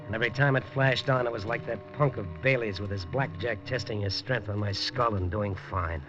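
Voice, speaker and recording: A man's voice: fairly low pitch, a little fast, natural voice. An actor playing a hard-boiled, wisecracking newspaper reporter who narrates his own story in a 1950s radio drama. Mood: wry. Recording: dull old radio broadcast with music.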